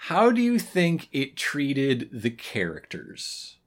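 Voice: medium pitch, huge pitch swings, takes it slowly. A podcast co-host chatting casually and humorously, with a wry, joking manner. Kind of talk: casual humorous chat recapping an old cartoon.

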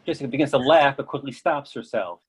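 A man with a husky voice reading stage directions as scripted narration for a play. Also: medium pitch, fast.

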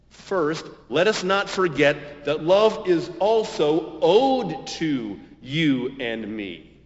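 Male preacher in a long-echoing space, animated pitch. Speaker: pastor and preacher. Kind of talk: homily. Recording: dull, muffled sound with long reverberation.

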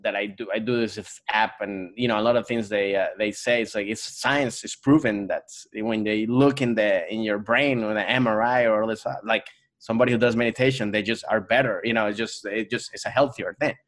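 A man's voice: lowish voice, steady tone, brisk, a bit bright. A Venezuelan-born man whose first language is Spanish, a comedian guest chatting on a podcast and rambling with many fillers and restarts. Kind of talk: casual podcast chat.

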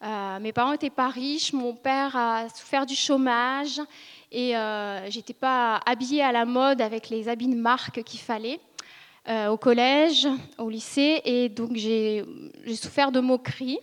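A woman's voice: on the high side, steady tone. A woman in her thirties from northern France, giving an earnest, hesitant personal testimony to a church gathering. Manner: earnest, hesitant, with frequent pauses and restarts. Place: church ministry school meeting room. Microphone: seated speaker, filmed for video. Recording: reverberant hall.

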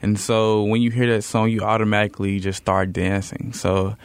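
Man, radio co-host, lowish voice, relaxed casual talk, dry studio. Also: normal speed, smooth, dry recording. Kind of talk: casual studio interview chat.

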